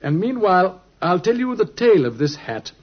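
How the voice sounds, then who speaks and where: A brisk genial british gentleman detective, highish husky voice, swoops around, muffled old radio drama. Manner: animated, precise, urbane storytelling.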